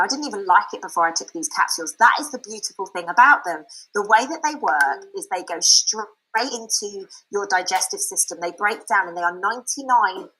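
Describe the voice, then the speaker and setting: Frank, bright-voiced woman. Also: mid-range voice, husky, low-quality recording. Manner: frank and chatty, with many fillers and restarts. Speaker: speaker sharing a product testimonial. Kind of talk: candid personal testimony and sales pitch.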